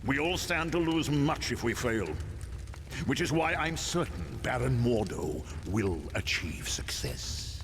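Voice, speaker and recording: An actor playing an imperious armored male villain who threatens in slow, measured words. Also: mid-range voice, lively intonation, fairly bright tone, slight background noise. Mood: commanding. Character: menacing armored male tyrant villain, cold and commanding. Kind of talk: video game cutscene acting.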